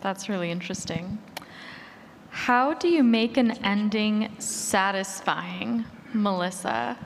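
A woman's voice: mid-range voice, takes it slowly, gravelly. A woman moderating a panel, putting short questions to the speakers. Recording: echoey hall with faint room noise.

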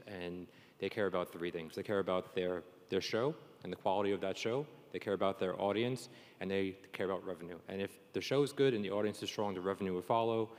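Low-voiced man moderating in a reverberant room. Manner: chatty, jokey. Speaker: panel moderator. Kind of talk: informal live panel hosting. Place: on stage at a conference session. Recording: reverberant conference room sound.

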